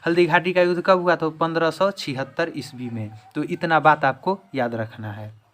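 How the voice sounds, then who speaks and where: A man's voice: a little high, normal speed, fairly bright tone. A man giving a quiz-style history lesson as an exam-prep teacher, restating each question and answer.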